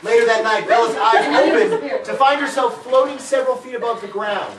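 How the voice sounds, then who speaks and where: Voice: mid-range voice, a little fast, a crowd in the background. A narrator reading stage directions in a hammy, mock-dramatic way, with comic asides. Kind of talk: theatrical comedic script narration with asides. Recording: distant mic, echoey room, loud audience.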